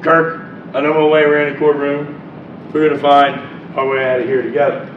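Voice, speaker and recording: A man with a froggy voice, just over bronchitis, giving an animated storytelling talk as a guest speaker in a university lecture hall. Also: fairly high pitch, a little fast, a little hiss or hum. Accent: Maryland. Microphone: far room mic. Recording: distant, muffled, echoey hall recording.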